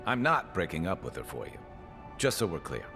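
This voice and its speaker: An actor playing a blunt, seasoned companion in a video game, talking in a dry, laid-back, teasing way. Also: male voice, low-pitched, sing-song, fairly quick, over music. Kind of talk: game dialogue.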